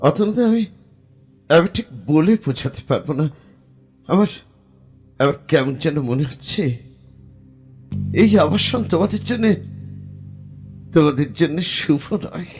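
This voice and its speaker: A man's voice: on the high side, lively intonation, a little mellow, soft background music. A man acting a troubled, hesitant young man haunted by visions in an audio drama. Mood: uneasy, hesitant. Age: young adult. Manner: halting, uneasy, dramatic.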